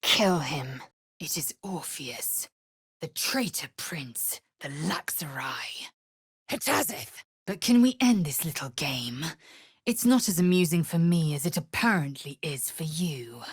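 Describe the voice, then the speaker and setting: Video game role: proud, scornful female alien warrior. Low, raspy voice, curt commands, measured delivery. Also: lively intonation, no echo, clean studio sound, heavily acted. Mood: menacing. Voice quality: husky, raspy. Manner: imperious, clipped, deliberate. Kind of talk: video game character voice lines.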